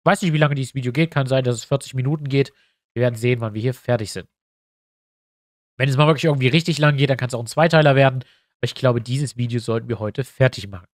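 A man's voice: mid-range voice, quick pace, no room sound, clean studio sound. A man with a stuffy nose, chatting casually to his viewers as he thinks aloud through building a map in a tutorial. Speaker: YouTuber building a tabletop map. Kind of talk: casual screen-recorded tutorial.

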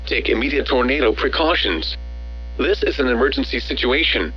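A man's synthetic-sounding voice reading scripted emergency weather bulletins as a radio announcer, in a measured, formal, automated delivery. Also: mid-range voice, expressive, fairly bright tone, faint music behind, low-quality recording.

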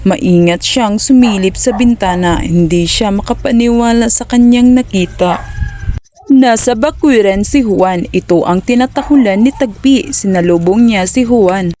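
A woman narrating a cartoon folk tale in a plain, steady storytelling manner. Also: mid-range voice, a little slow.

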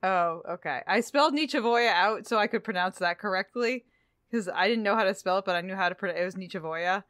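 A woman's voice: mid-range voice, fairly quick, fairly bright tone, dry recording, studio quality. A woman co-hosting a podcast, chatting casually and playfully about books. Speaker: podcast co-host. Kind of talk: casual, playful book discussion.

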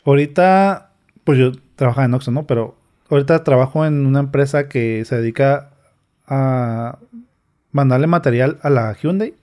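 A young man, telling a story as a podcast guest, animated, acting out quoted comments in a raised voice. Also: medium pitch, moderate pace. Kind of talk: casual storytelling. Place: small studio with a sofa. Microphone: studio mic on a boom arm, wearing headphones.

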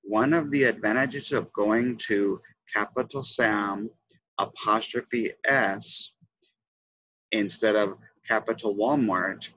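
A middle-aged man speaks as a trainer explaining a lesson, in a fairly low but forceful voice. He enunciates key words deliberately and with emphasis. The sound is dry and slightly lo-fi, as from a headset indoors. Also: dry recording. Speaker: teacher trainer presenting a lesson. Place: indoors, webcam presentation.

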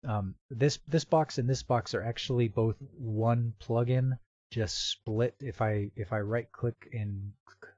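A man presenting a casual software tutorial in a halting, step-by-step way, as if thinking aloud. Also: medium pitch, fairly even intonation, no room sound, highs cut off. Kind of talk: casual screen-recorded tutorial narration. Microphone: computer microphone with real-time noise removal.

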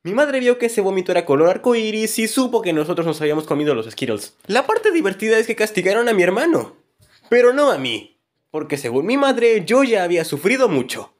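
Male narrator for a cartoon story dub: high voice, very lively intonation, comic exaggeration. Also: a little fast. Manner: expressive, exaggerated comic delivery. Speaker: narrator of a fan-dubbed animated story video. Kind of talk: animated comedic storytelling narration.

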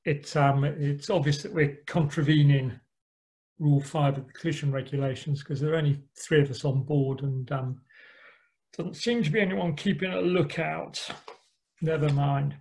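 A middle-aged man gives a laid-back talk about a voyage over an online call. His voice sits a little high, and he pads it with ums and quiet, dry asides. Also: fairly even intonation, normal speed. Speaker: yacht club speaker. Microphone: online call microphone.

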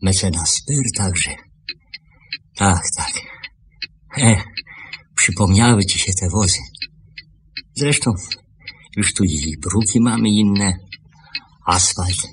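An actor in a radio play as an old widowed shopkeeper, lonely and gentle, stammering and repeating his words. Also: low voice, slow pace, pauses often, a little hiss or hum. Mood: hesitant, reminiscing. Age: older.

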